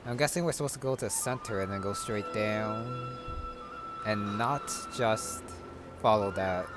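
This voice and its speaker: A man giving casual gameplay commentary, musing and thinking aloud. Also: fairly low pitch. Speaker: gamer.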